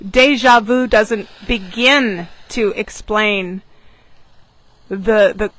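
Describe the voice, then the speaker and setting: Slow-paced woman telling a personal story. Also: mid-range voice, steady tone, fairly bright tone, dry recording, low-quality recording. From born in Japan, grew up in England, American parents. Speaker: manifestation coach and class teacher. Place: outdoors on a beach.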